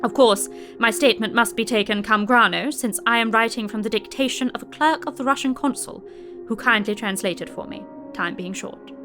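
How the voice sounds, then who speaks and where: A woman playing a composed newspaper correspondent in an audio drama, narrating her dispatch in a measured, articulate way. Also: a little high, music in the background, natural voice. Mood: intrigued. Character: observant, composed newspaper correspondent filing reports.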